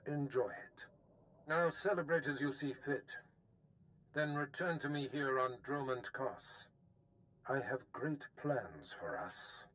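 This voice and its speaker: An actor playing a powerful, smugly commanding older Sith master in a video game, speaking formally and with measured pomp. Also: male voice, mid-range voice, lively intonation, a bit bright. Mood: approving. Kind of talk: scripted game dialogue.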